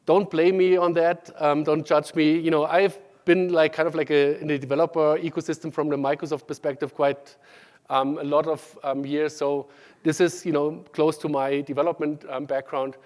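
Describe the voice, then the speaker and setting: A man with a German accent gives a technical talk at a conference, pausing often with ums and starting sentences over. His voice sits a little high for a man. The sound carries the long reverb of a large hall. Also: level tone, a little mellow. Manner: halting, many ums and restarts. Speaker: conference speaker.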